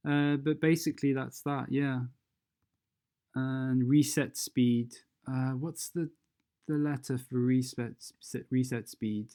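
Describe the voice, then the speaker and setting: A software tutorial presenter talking through steps unscripted and hesitantly, thinking aloud while demonstrating. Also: mid-range voice, steady tone, slow pace, clean tone.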